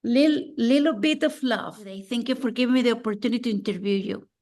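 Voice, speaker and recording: Female interview host, fairly high voice, warm-up questions with restarts. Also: normal speed. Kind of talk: bilingual interview hosting.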